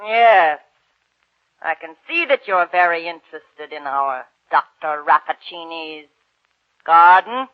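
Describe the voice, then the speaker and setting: Shrill, scolding housekeeper, swooping pitch. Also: male voice, lively intonation, slow, slight background noise. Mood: knowing, teasing. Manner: scolding, sarcastic. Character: brusque, nosy, sharp-tongued housekeeper of a lodging house. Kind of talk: 1940s radio acting. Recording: dull, lo-fi 1940s radio recording.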